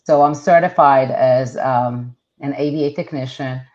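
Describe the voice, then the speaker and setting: A middle-aged woman from Israel with Hebrew as her first language talks in a very low voice. She chats loosely, full of "um" and restarts. The sound is dull and lo-fi. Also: moderate pace, a little mellow. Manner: chatty, many fillers and restarts. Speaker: realtor guest. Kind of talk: casual interview talk.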